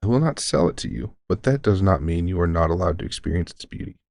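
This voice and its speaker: A man playing a deranged centuries-old elf shopkeeper who is courteous, formal and unsettlingly calm, in an audio roleplay. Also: sits low, fast talker, creaky, no room sound, clean studio sound. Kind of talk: scripted audio roleplay addressing the listener.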